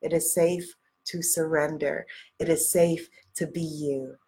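A woman's voice: sits low, steady tone, measured pace, warm tone, breathy edge. A sniffly woman hosting a motivational livestream, encouraging and emphatic, repeating affirmations and phrases for effect. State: sniffly with a cold. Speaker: live-stream host, spiritual life coach.

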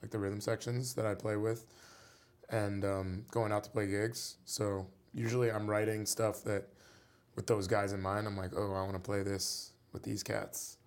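A man's voice: fairly low pitch, level tone, moderate pace. A man, a musician guest in an interview, talking casually and rambling with many fillers.

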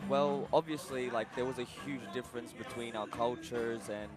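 A man's voice: medium pitch, normal speed. Enthusiastic young man. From Ethiopia. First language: Amharic. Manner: enthusiastic, gets loud and laughs when excited. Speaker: festival guest. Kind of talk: casual interview chat. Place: outdoor festival. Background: music.

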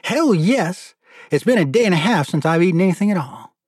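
A man voicing a frail but pushy, condescending old brother in an audiobook, with a gruff delivery and a thick Manhattan accent. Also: high voice, animated, a little fast, a little mellow, no echo, clean studio sound, pitched above the performer's own voice. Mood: gruff, exasperated. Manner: sarcastic, condescending, dramatic.

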